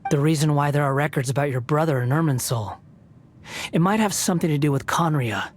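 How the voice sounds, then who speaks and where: A man's voice: on the high side, fairly quick, close to an everyday voice. A man voicing a bitter, proud young male wanderer with fallen godlike power in a video game, speaking in a sardonic, theatrical, haughty way. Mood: measured, guarded. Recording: music behind the voice.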